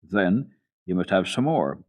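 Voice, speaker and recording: Man playing a plain-spoken old grandfather, lively intonation. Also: low voice, unhurried. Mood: kindly, plain. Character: gruff, kindly old mountain grandfather.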